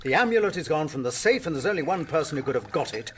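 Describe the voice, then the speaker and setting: A browbeaten, greedy landowner in a radio mystery. His high-set voice swoops with blustering indignation and worry, over faint noise. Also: sing-song, fast. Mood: indignant. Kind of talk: period detective radio drama acting.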